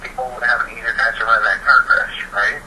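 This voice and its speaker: A man's voice: medium pitch, fairly even intonation, fast talker, pushed and bright. Young man, noisy distant recording. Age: teens or young adult. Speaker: participant in a phone chat. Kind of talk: casual chat.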